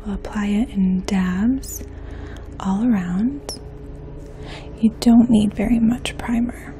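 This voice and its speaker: A woman in her twenties speaking in a soft, hushed, breathy voice, gently explaining things as a makeup artist in an ASMR role play. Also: medium pitch, takes it slowly. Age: 20s. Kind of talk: ASMR role play, addressing the listener as a client. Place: small indoor room. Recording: faint steady outdoor hum. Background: distant lawn mower.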